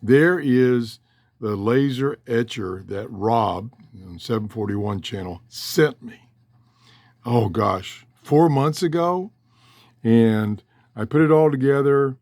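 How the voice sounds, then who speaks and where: A man's voice: mid-range voice, takes it slowly, darkish tone, no echo. Older guy rambling on his hobby vlog, takes his time, folksy, pretty dry sound off a phone. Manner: rambling, self-interrupting, folksy. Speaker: host of a shortwave radio hobby channel. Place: cluttered room downstairs at home. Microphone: handheld cell phone.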